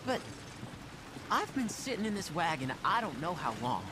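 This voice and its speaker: A man's voice: sits high, big pitch swings, moderate pace. A young male actor playing a polite, reluctant, bookish boy, a rancher's son in a western video game, speaking meekly. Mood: complaining. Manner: meek, polite. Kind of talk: video game character acting. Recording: game dialogue over wagon noise.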